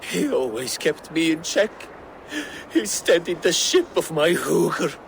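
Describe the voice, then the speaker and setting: A man's voice: on the high side, big pitch swings, slow, vocal fry, slight background noise, full character voice. A man playing a tormented, deranged Viking warrior in a video game, theatrical and erratic. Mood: anguished, pleading. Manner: theatrical, erratic swings from growls to cackles. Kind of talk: dramatic video game acting.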